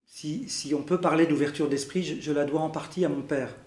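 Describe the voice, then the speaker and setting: A man's voice: on the high side, fairly even intonation, a little mellow. Thoughtful man, echoey room. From Belgium. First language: Arabic. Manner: thoughtful, with many "euh" pauses and listing. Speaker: interviewee. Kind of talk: reflective personal testimony.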